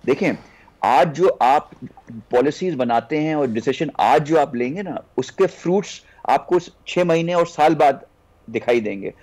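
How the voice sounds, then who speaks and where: A man's voice: on the high side, lively intonation, measured pace, darkish tone, rough recording. A man with a Pakistani accent making an argumentative case in a political discussion, mixing in English terms. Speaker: political guest on a news talk show. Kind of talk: political discussion, explaining policy.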